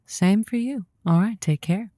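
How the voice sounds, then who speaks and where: A woman's voice: mid-range voice, measured pace, background noise, natural voice. A woman playing a feisty, folksy host of a lonely-hearts radio call-in show, with saucy turns of phrase. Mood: breezy, welcoming. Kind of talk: improvised comedic radio call-in hosting.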